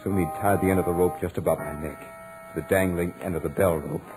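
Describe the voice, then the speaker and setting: An actor playing a terrified doomed man, telling his story with dramatic, deliberate dread in 1940s radio horror style. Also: low voice, fairly even intonation, natural voice. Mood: resigned horror. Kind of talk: 1940s radio horror acting, first-person narration. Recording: old 1940s radio recording with music.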